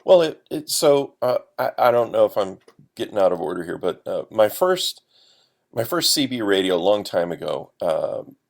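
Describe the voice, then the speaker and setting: A man from the United States talking as a podcast guest, telling stories casually in a halting, explanatory way. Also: lowish voice, very animated, creaky. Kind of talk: casual conversational storytelling.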